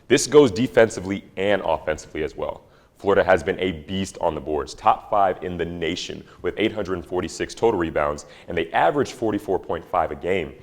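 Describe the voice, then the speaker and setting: A young man with a very low voice breaks down the matchup in a measured, emphatic way. It is scripted analysis for a student sports show. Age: young adult.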